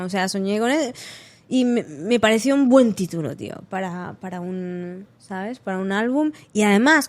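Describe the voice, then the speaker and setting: Spanish-accented woman from Catalonia, singer as podcast guest; higher-pitched, animated storyteller. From Catalonia, Spain. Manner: lively storyteller, acts out quotes, frequent restarts and laughter. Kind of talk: casual interview chat.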